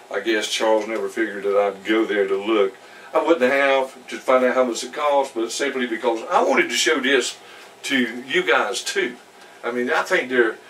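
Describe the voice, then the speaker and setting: Slow-talking man with a bright, forceful voice. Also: medium pitch. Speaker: product reviewer. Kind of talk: casual hands-on product demonstration. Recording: distant mic with faint background noise.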